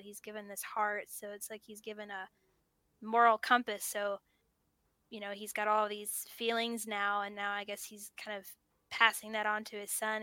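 Bright-voiced woman podcast host. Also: medium pitch, clear, resonant tone, dry recording. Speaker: podcast co-host and story reader. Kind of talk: story narration and casual chat.

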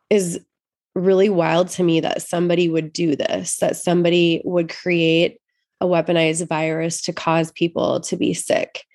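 Woman co-host, stuffy nose, lower voice, dry close sound, chatty and rambling. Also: darkish tone, no echo. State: stuffy nose, just over an illness. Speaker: podcast co-host. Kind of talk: casual faith podcast chat.

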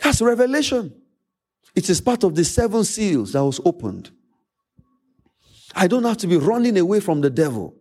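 A man with a Nigerian accent, a native Yoruba speaker, preaching a sermon as a pastor, emphatic, repeating phrases and pausing dramatically. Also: high-pitched, very animated, a little mellow, husky.

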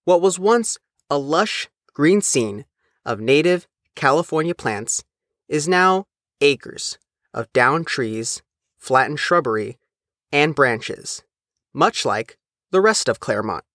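A man reading a scripted news feature as the reporter, in a measured, careful way. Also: on the high side, lively intonation, fairly bright tone, no room sound, studio quality. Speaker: reporter and narrator.

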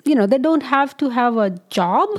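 A woman from Pakistan sits as a guest in a studio interview. Her voice sits a little high, and she talks her way through her story hesitantly, filling pauses and laughing often. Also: lively intonation. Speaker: guest physician. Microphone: studio mic.

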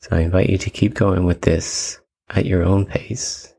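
A man leading a guided meditation with calm, measured instructions and long pauses between phrases. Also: low-pitched, creaky, husky.

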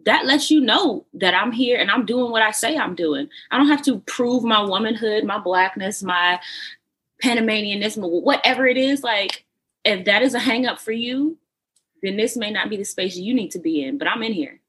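Husky-voiced Texan woman, lively talker. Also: mid-range voice, moderate pace. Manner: lively and talkative, laughs easily. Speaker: guest, model and actress. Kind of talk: casual interview chat.

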